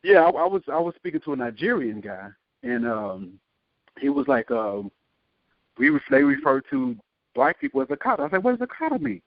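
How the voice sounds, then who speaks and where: A man in a casual group discussion, animated and insistent, swearing now and then. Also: a little high, fairly quick, dry recording. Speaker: podcast panelist. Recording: dull dry lo-fi audio.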